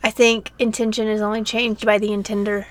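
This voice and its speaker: A woman's voice: mid-range voice, little pitch movement, a little fast. A young adult woman co-hosting a podcast, talking in a casual, unscripted, conversational way. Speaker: podcast co-host.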